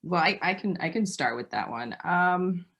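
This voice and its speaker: A woman from Barbados, a guest photographer, talking over a video call in a thoughtful, measured way with some fillers. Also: fairly low pitch, expressive, a bit bright, slightly rough, dull audio. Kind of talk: casual interview chat.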